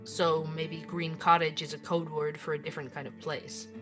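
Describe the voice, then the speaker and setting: A woman playing a composed investigative journalist in an audio drama, asking measured, probing questions. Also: low-pitched, flat delivery, soft background music, natural voice. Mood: curious, friendly. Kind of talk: audio drama acting as podcast interview.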